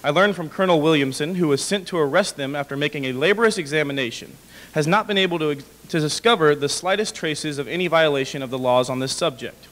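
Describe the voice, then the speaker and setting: A young man with a fairly high voice reads formal prose aloud. He is a little halting, and his voice reverberates in a hall. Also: fairly bright tone. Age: young adult. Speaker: dramatic reader. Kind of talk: formal read-aloud of historical letter. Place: indoor hall with brick walls, small audience. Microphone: podium microphone.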